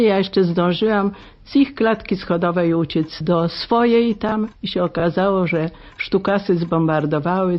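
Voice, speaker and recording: A woman's voice: on the low side, dull audio. A woman in her eighties giving a spoken personal recollection as a war veteran, in a plain-spoken storytelling manner. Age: 80s.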